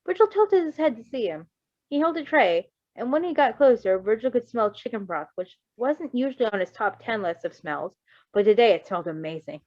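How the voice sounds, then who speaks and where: A dull, lo-fi recording of a woman reading fiction aloud. She is expressive and informal, with a giggly manner, and slips easily between narration and casual commentary. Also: fairly high pitch, fairly quick, no room sound. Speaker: fan fiction reader. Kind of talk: expressive story read-aloud with casual reactions.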